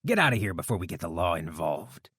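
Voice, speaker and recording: A hostile, menacing railroad security guard, as a man reads him, snarling threats in a quick, clipped manner. His voice stays near the narrator's own level. The sound is dry. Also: deep voice, sing-song, fairly bright tone, breathy edge, no echo, voice pushed down, exaggerated voice.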